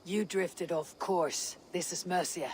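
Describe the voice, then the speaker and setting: An actress playing a viking clan leader who speaks in a measured, authoritative way. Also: female voice, on the low side, fairly even intonation, a little hiss or hum. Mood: commanding. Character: female viking clan leader, calm and commanding. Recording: game cutscene over soft music.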